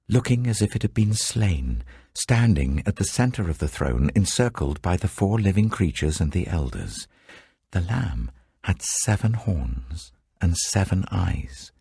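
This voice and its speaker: An older man reading scripture aloud in a measured, solemn audiobook style, lifting his voice for quoted proclamations, recorded close in a studio. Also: deep voice, creaky, dark tone, breathy edge, no room sound. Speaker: Bible reader.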